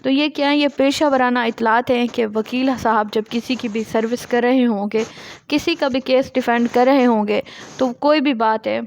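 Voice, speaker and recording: A woman's voice: on the high side, steady tone, moderate pace. A woman giving a law lesson, reading out formal text and explaining it by posing and answering her own questions. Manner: explanatory, poses questions and then answers them. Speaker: law lecturer.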